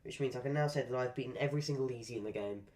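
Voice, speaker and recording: A man's voice: medium pitch, racing, a little mellow. A man chatting casually while live-streaming a game, rambling through asides and swearing at the game now and then. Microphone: desk microphone.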